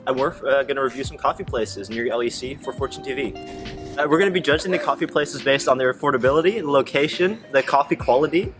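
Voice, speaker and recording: Fast-talking young man, casual and slangy. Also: mid-range voice, music in the background. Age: young adult. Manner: informal, slangy. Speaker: student co-host reviewing coffee shops. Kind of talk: casual chat.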